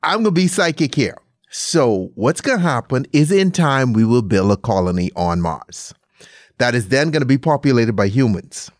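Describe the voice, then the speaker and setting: A man's voice: medium pitch, lively intonation, moderate pace, no echo, studio quality. A man hosting a casual interview over a video call, talking playfully and laughing often. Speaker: podcast host.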